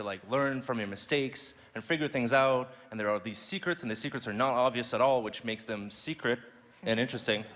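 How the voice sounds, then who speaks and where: A man with a medium-pitched voice lays out his opinions in an explanatory way during a panel discussion. The sound has the long echo of a hall. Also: a bit bright. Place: large indoor event hall. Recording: echoey hall.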